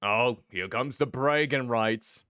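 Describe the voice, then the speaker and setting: A man streaming a story game as a VTuber, chatting with his viewers in a playful, teasing way with a deep voice. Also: slow, pushed and bright, clear, resonant tone, no room sound, studio quality. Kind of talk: casual gameplay commentary.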